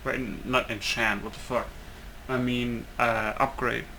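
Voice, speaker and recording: A man's voice: medium pitch, steady tone, measured pace, creaky, background noise. A man doing casual let's play commentary of a video game, muttering and thinking aloud to himself. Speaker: gamer playing through a video game.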